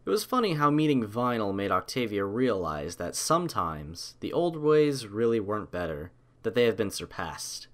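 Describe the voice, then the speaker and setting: Low-voiced man narrating a story. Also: very animated, normal speed, hardly any pauses, a bit bright. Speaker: narrator reading a fan story. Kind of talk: scripted audiobook narration.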